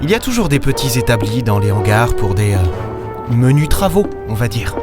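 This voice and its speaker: A man playing a cynical loner starship captain with a mid-pitched voice and a sardonic, gruff edge. He sounds like a noir hero in a science-fiction audio serial, over prominent background music. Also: sing-song, fairly quick, lightly acted. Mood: wry, brooding. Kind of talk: sci-fi audio drama, noir-like first-person narration and dialogue. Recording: music under the voice.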